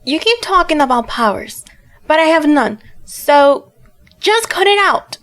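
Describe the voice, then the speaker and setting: A woman's voice: high voice, very animated, unhurried, a bit bright, slight background noise, full character voice. A young woman playing a feisty, guarded and weary witch girl in an audio drama, blunt but hesitant. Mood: annoyed, guarded. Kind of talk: fan audio drama acting.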